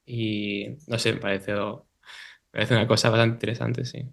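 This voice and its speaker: A man's voice: lowish voice, level tone, moderate pace, gravelly. A man on a casual podcast panel, speaking hesitantly and searching for his words.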